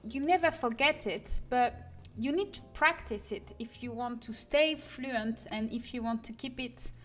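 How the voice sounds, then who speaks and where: A woman's voice: a little high, measured pace. Chatty woman streaming while she works. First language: Portuguese. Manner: chatty, thinking aloud, small self-corrections. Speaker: streamer making game content. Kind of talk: casual livestream chat while working.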